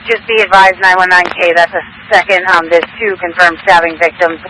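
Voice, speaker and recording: Flat, high-voiced man on noisy police radio. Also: a little fast. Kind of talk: procedural police radio traffic.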